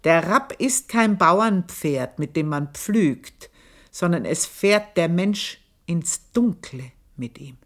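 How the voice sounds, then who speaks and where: An old maid, slow and burning. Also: female voice, on the low side, animated. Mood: brooding. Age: older. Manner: slow, intense, dropping at times to a hushed whisper. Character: aged maidservant, proud, bitter and passionate. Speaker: old maidservant telling her life story. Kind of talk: radio play monologue.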